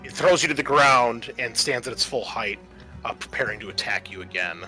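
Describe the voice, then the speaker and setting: A man's voice: mid-range voice, expressive, fairly quick, pushed and bright. A man running a tabletop role-playing game, narrating the action theatrically and casually. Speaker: game master. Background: music.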